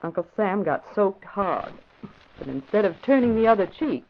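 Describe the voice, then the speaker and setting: Woman acting dry wisecracking secretary, mid-pitched, animated intonation, radio play, dull noisy vintage recording. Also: normal speed, lightly acted. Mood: wry, reading. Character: wry, capable female newspaper secretary. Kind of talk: old-time radio drama acting.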